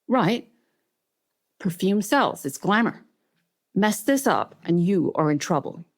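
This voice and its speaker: A woman's voice: mid-range voice, big pitch swings, takes it slowly, full character voice. A woman voicing a curt, scornful male newsroom boss with a Southern US accent in a book reading. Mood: curt, impatient. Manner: brusque, dismissive.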